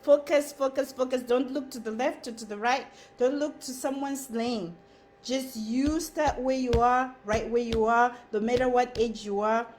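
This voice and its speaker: A woman with a Zimbabwean accent speaks to camera with earnest, emphatic energy and keeps repeating short phrases for stress. Her voice sits high. A faint hiss of background noise runs under the recording. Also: fairly even intonation, moderate pace, a little mellow. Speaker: content creator. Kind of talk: motivational talk to camera.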